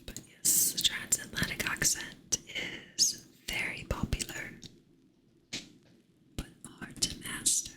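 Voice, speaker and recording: A woman whispering softly in a playful way as she chats with her live-stream audience. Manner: soft whisper, playful with chat; slow, drawn-out delivery. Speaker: ASMR streamer.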